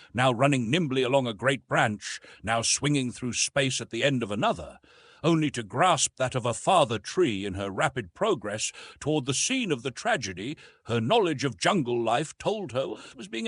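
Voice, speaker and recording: Guy reading an old adventure novel, dramatic storytelling, bit raspy, really dry sound. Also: medium pitch, a bit bright, no room sound. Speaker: audiobook narrator.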